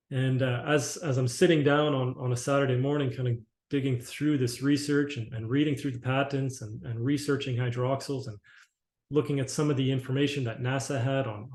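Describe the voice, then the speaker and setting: A man's voice: medium pitch, darkish tone. Man rambling through a webinar talk. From Canada. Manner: rambling, with frequent restarts and fillers. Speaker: company presenter. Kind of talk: webinar presentation. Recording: echoey room.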